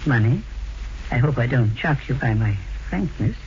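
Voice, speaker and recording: An actor playing a suave elderly blackmailer with mannered, falsely polite speech in an old radio mystery. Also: male voice, medium pitch, animated, normal speed, a little mellow, close to an everyday voice. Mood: courteous, insinuating. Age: older. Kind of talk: 1940s radio mystery acting. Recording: noisy, dull vintage radio recording.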